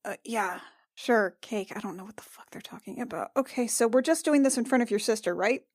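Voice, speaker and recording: A woman's voice: a little high, fairly quick, no room sound, clean studio sound. A woman playing a sarcastic, foul-mouthed reluctant wife, flustered and repeating herself, in a tabletop game. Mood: uneasy.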